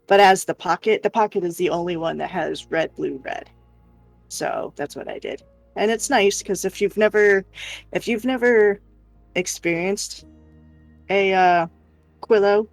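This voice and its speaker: A woman giving a casual show-and-tell of her crafts on a livestream, explaining in a halting, self-interrupting way. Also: lowish voice, vocal fry, no echo, low-quality recording. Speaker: crafter showing crochet projects on a livestream.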